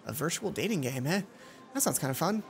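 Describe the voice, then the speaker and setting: Lady doing a let's play, kinda low voice, reading the story n chatting playfully, music playing behind her. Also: animated, brisk, gravelly. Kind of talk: reading a visual novel aloud with casual commentary.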